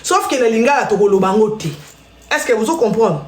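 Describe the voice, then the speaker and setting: A woman's voice: on the high side, animated, a little fast, echoey room. A Congolese woman hosting a live stream, chatting and telling stories straight to her viewers. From Congo (DRC). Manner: chatty, storytelling, addresses viewers directly. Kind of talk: casual live-stream chat.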